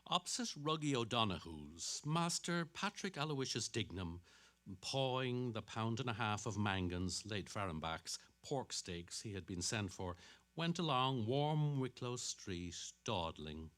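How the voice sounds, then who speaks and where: Male narrator for a literary stage reading, on the low side, highly varied intonation. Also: very animated, unhurried, a bit bright.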